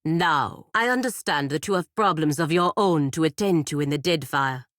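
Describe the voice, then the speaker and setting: A woman's voice: low voice, lively intonation, moderate pace, fairly bright tone, no echo, studio quality. A woman playing a proud, stern godlike warrior in a video game, speaking formally and bluntly with haughty disdain. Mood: cool, measured. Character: proud, stern godlike female warrior serving her republic. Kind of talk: scripted video game character dialogue.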